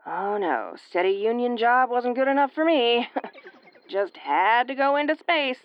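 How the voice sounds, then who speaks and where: A woman's voice: a little high, lively intonation, measured pace, smooth, muffled recording. A woman playing an injured, sarcastic starship first officer in an audio roleplay, with a wry, exasperated tomboyish delivery. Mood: weary, rueful. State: woozy and battered. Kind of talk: audio roleplay acting, recorded log monologue.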